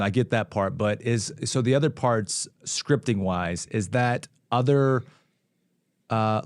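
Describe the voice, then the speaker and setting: A man's voice: medium pitch, a little mellow, dry recording, studio quality. A man hosting a podcast, asking casual, roundabout questions and offering frequent affirmations. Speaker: podcast host.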